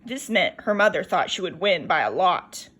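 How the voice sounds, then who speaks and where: A woman reading a children's picture book aloud in an expressive, lively storytelling manner. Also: lowish voice, moderate pace, breathy edge.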